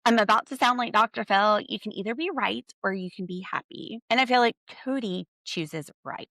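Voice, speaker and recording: A woman's voice: mid-range voice, creaky, fairly bright tone, dry recording, clean studio sound. A woman hosting a solo podcast, recapping a reality TV episode with sarcastic, exasperated commentary. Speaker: podcast host.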